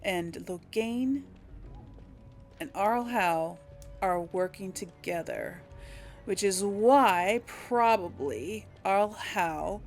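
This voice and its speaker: A woman giving casual commentary as she role-plays through a video game, thinking aloud hesitantly. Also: mid-range voice. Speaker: video game streamer role-playing for an audience.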